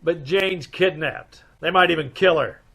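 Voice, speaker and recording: A man playing a brisk, wisecracking private detective in an old-time radio mystery. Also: a little high, creaky, a bit bright, full character voice. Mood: brisk, probing. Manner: clipped, wry interrogating.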